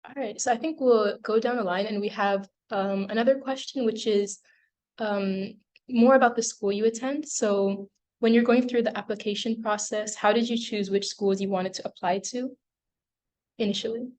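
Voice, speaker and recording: Give me a woman moderating a student transfer panel. She is relaxed and conversational, filling pauses with "like" and "um" as she calls on speakers. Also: mid-range voice. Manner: casual, filler-heavy.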